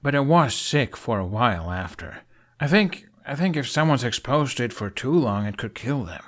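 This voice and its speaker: Man acting a young male dragon rider, wry, earnest, halting, raised pitch, audiobook fantasy character. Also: expressive, fairly bright tone. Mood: urgent, insistent.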